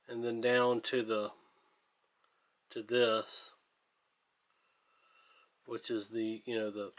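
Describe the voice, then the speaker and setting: A man giving casual hands-on workshop commentary, thinking aloud in a halting, plainspoken, folksy way, like a hobbyist hosting a repair video. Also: mid-range voice.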